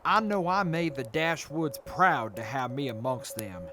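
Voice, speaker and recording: A man acting as a weary, proud mercenary officer in a wasteland radio play. He talks earnestly and reflectively, with animated pitch, over clearly audible noise. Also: unhurried. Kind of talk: post-apocalyptic audio drama acting.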